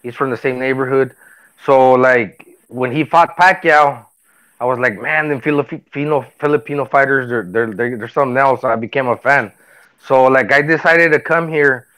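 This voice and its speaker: A man's voice: mid-range voice, normal speed, a bit bright. A man in his forties from Tijuana, Mexico, telling personal stories in a casual, rambling way and often repeating himself. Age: 40s. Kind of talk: casual chat, personal storytelling.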